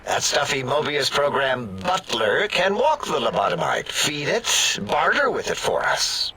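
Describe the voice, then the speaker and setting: An actor playing a petty, excitable robotic scientist in a video game, snide, sarcastic and quick to bicker. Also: male voice, mid-range voice, husky, heavily acted. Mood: eager, wisecracking. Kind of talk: video game character acting.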